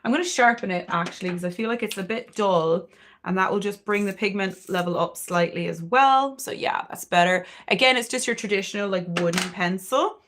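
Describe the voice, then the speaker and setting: A woman's voice: mid-range voice, a little fast, fairly bright tone, a little hiss or hum. Young cork irish woman chatting away on a makeup livestream, swears a lot, totally candid. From Ireland, Cork. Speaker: beauty livestreamer. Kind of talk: casual makeup livestream chat.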